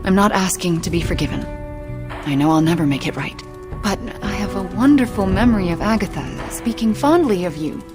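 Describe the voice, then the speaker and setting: A woman plays an earnest young superheroine in a game cutscene, easily flustered and hesitant. Her slightly low voice moves around animatedly in pitch. Music is prominent behind her. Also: lively intonation, a little fast, close to an everyday voice. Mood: earnest pleading. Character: earnest, nervous young superheroine, awkward at lying. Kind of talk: video game cutscene acting.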